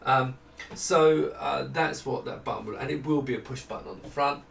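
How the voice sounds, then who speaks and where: A man in his fifties giving a casual, unscripted product walkthrough, explaining haltingly as he thinks aloud. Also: medium pitch, creaky, fairly bright tone. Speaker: maker presenting a product prototype. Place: small home office room. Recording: roomy, distant mic, faint noise.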